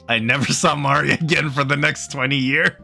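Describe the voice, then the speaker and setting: A man's voice: on the high side, unhurried, gravelly, sharp tone. A man narrating a visual novel as he plays it, casually, with joking asides. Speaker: let's-play host reading game text aloud. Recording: faint music behind voice.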